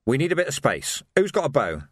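A man's voice: medium pitch, wildly swooping pitch, quick pace, husky, no echo, clean studio sound, pitched above the performer's own voice. A man playing a harried, commanding watch sergeant in an audiobook, firm and blunt.

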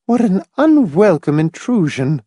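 A woman's voice: on the low side, sing-song, a little slow, warm tone, husky, no echo, clean studio sound, pitched above the performer's own voice, heavily acted. A man reading a story, voicing a fussy, gushing, complacent old woman with a prim, matter-of-fact manner. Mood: genteel fussing. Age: older.

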